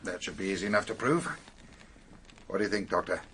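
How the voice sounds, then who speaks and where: A middle-aged actor with an English accent playing a sober, matter-of-fact male police detective in a radio drama, measured and authoritative. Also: sits low, fairly bright tone, a little hiss or hum. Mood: businesslike.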